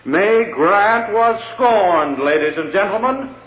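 An actor playing a forceful, insistent prosecutor in an old-time radio courtroom drama, speaking like an orator. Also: male voice, high voice, lively intonation, slow, a little hiss or hum. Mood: grandly dramatic. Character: forceful, theatrical male prosecutor pressing witness and jury. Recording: muffled old radio, long hall reverb.

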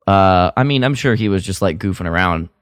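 A man co-hosting a podcast, chatting casually through a story recap in a halting, loosely phrased way that often trails off. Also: low-pitched, animated, no echo, clean studio sound. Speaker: podcast co-host.

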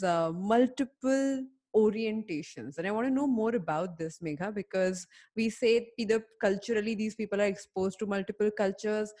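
A woman's voice: mid-range voice, a little mellow. A woman with an Indian English accent, hosting a podcast interview and introducing her guest, laughing often. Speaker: podcast host.

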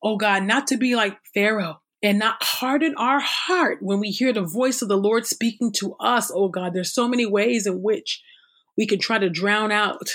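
A woman reading Bible passages aloud and then praying, earnest and measured, often repeating "oh God". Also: medium pitch, a bit bright. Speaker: Bible reader. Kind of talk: scripture reading and spoken prayer.

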